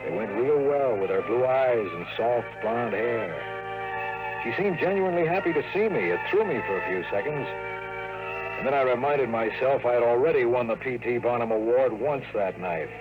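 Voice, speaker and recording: A man's voice: medium pitch, lively intonation, moderate pace, narrow, tinny audio, close to an everyday voice. An actor playing a wisecracking, world-weary male private investigator who narrates and talks in hardboiled 1950s radio drama style. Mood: brooding narration. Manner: wry, slangy, sardonic. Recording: old radio broadcast with music.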